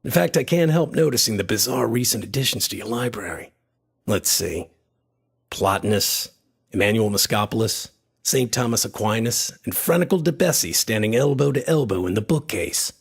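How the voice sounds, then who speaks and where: Man playing skeptical friend and narrator, radio horror drama, low husky voice, dry, measured. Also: lively intonation, full character voice. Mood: measured, intrigued.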